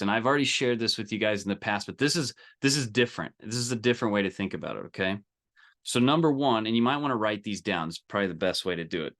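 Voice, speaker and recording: A man's voice: lowish voice, a bit bright. A man giving an informal, emphatic live coaching talk, direct and colloquial, often checking in with listeners. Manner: colloquial, salesy. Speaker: business coach leading a group training call.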